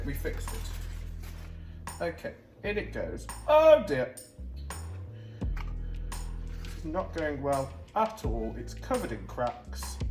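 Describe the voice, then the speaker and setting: A woman performs a haughty, scatty comedy queen in an echoey room with music behind. The voice sits low for a woman and swings about theatrically, full of pompous self-regard. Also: a little mellow, exaggerated voice. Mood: blustering, dotty. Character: imperious, vain pantomime queen fond of beheading. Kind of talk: comic character cooking show.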